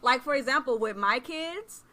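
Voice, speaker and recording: A woman in her thirties, a kindergarten teacher hosting a casual chat over a video call, animated and expressive, laughing often. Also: sits high, moderate pace. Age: 30s. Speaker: kindergarten teacher and podcast host.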